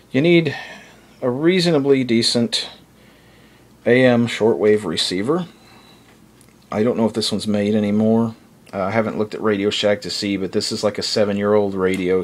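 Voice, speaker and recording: An American man giving a casual, unscripted explanation as a video blogger, talking in a rambling, conversational way. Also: lowish voice. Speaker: video blogger, radio hobbyist.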